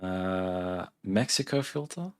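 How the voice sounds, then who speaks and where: An Australian man in his thirties with a fairly low-pitched voice. He talks casually, as a livestreamer does, reading out questions and thinking aloud as he answers. His manner is laid-back and conversational. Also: animated, slow, smooth. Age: 30s. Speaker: live streamer, software engineer.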